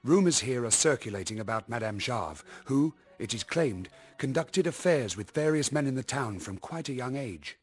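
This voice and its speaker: An actor playing a news reporter in a radio drama, giving a formal, measured scripted report. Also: male voice, mid-range voice, lively intonation, breathy edge, faint music behind. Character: formal male news reporter delivering a scandal bulletin.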